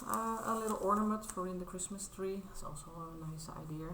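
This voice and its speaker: A woman's voice: fairly low pitch, a little fast, a bit bright, echoey room, slight background noise. A Dutch woman in her thirties, a native Dutch speaker talking casually in English on her crafting vlog, hesitant and full of fillers and restarts, modest and apologetic. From Netherlands. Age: 30s. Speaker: crafting vlogger. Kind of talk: casual show-and-tell vlog.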